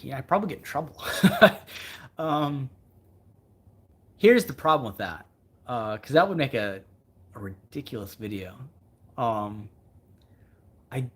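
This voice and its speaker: A man hosting a casual livestream as a fishing video creator, answering viewer comments in a rambling, think-out-loud way and repeating himself for emphasis. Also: mid-range voice, lively intonation, a little slow, pauses often, breathy edge.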